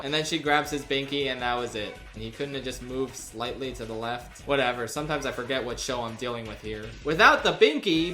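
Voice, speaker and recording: Sarcastic young man reviewing, lively pitch. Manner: sarcastic, exasperated asides. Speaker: video reviewer. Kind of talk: scripted comedic review narration. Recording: roomy echo.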